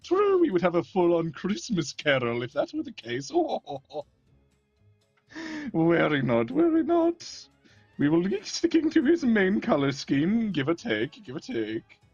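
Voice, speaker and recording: Villain barber voiced by a performer: cackling and pompous, husky voice, unhurried delivery. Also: mid-range voice, huge pitch swings, darkish tone, dry recording, dull audio, faint music behind, exaggerated voice. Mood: gleeful menacing. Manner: archaic pompous speech, frequent cackles.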